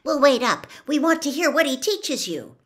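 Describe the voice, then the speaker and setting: Woman voicing an eager, high-pitched schoolgirl. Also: moderate pace. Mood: eager, excited. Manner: excited, high, emphatic. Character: eager, supportive teenage schoolgirl. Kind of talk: storybook character reading.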